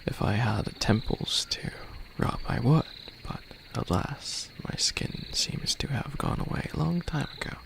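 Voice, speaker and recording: Centuries-old skeleton demon lord for ASMR roleplay, male actor: very gravelly, unhurried, soft and half-whispered, courtly, hesitant, quaintly curious about humans. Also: medium pitch, lively intonation, heavily acted. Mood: playful, puzzled. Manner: soft-spoken, partly whispered, hesitant, old-fashioned formal wording.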